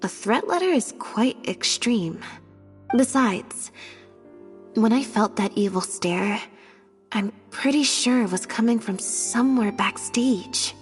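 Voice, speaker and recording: A woman voicing a cool, analytical young woman thinking through a mystery in a video game, measured and musing. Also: on the high side, expressive, long reverb tail, soft background music. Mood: musing, suspicious.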